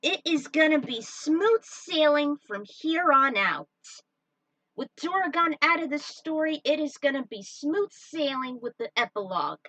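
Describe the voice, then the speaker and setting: A woman reading her own story aloud and chatting informally to her followers, with an excitable manner. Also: high voice, a little slow, no room sound, far from the mic, low-quality recording. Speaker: fan fiction writer and narrator.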